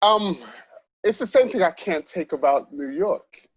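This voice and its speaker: A man's voice: high voice, lively intonation, unhurried. A man from London, a guest filmmaker in a casual interview, who often repeats words as he starts his sentences. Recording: dry, dull lo-fi sound.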